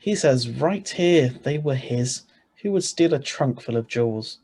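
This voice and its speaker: A man's voice: mid-range voice, lively intonation, measured pace, darkish tone. Man acting flustered ordinary husband, radio play. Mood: puzzled, domestic. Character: ordinary married man, flustered, narrating his own story. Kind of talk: 1940s radio acting read aloud. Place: video call reading.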